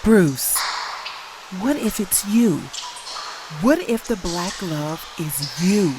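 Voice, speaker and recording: An actress playing a worried lover pleading earnestly and emotionally in a comic-book drama. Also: female voice, lowish voice, lively intonation, extremely slow, background noise. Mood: confronting, urgent. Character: worried, pleading adult woman, the vigilante's lover.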